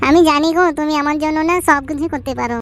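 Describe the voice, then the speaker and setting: Coy, high-voiced cartoon sweetheart played by a woman. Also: very fast, a little hiss or hum, close to an everyday voice. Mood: tender, coy. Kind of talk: Bengali comedy cartoon dub.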